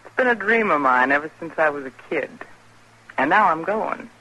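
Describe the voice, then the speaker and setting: A woman's voice: low voice, animated, normal speed, sharp tone, exaggerated voice. A woman playing a money-hungry saloon woman of the Old West, sly and bold, in old-time radio western style. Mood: sly, bargaining. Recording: noisy old radio broadcast recording.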